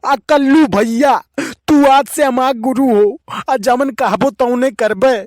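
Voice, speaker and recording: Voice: high voice, slow pace, a little mellow, dry recording. Someone doing a gullible nosy village bachelor, awadhi cartoon, takes his time talking, very dry recording. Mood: eager, admiring. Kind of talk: Awadhi comedy cartoon dialogue.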